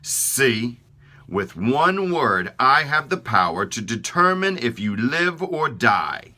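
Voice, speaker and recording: A man voicing a boastful talking tongue that speaks slowly and emphatically. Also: mid-range voice, very animated, pushed and bright, voice pushed up, exaggerated voice. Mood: smug. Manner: slow, emphatic, self-important.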